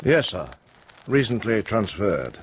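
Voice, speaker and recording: Grave, insistent police inspector, lively intonation. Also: male voice, on the low side, a little hiss or hum. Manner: measured, firm, polite but insistent. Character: grave, unshakeable male police inspector, deliberate and probing. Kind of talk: radio play acting.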